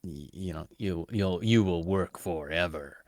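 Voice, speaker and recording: A middle-aged man with a fairly low voice, recorded close and dry with no room sound. He chats like a podcast host, informal and given to banter. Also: a little slow, darkish tone, dry recording. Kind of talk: casual interview chat.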